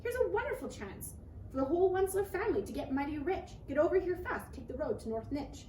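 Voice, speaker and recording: Woman playing a brash, greedy storybook merchant, high-pitched. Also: very animated, fast, mellow. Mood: excited, commanding. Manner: brash, emphatic, self-justifying. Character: greedy, boastful, dismissive male inventor and merchant. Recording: roomy, noisy recording, distant mic.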